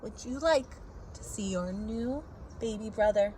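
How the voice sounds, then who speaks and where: A woman's voice: fairly high pitch, measured pace, mellow, a little hiss or hum, lightly acted, poor audio. A woman voicing a cheerful, coaxing mother in a children's storybook, stretching out her phrases.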